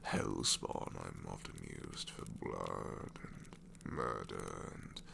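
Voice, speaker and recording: A man voicing a sassy male shadow demon in an ASMR roleplay, snarky and rambling with soft whispered asides. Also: rumbling low, takes it slowly, creaky, slight background noise, heavily acted.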